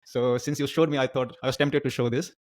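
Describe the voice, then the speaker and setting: A man's voice: medium pitch, fairly even intonation, a little fast, darkish tone. A man who grew up in India with Nepali as his first language, hosting a casual interview over a video call and listening attentively. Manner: attentive, frequent short acknowledgements. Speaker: podcast host.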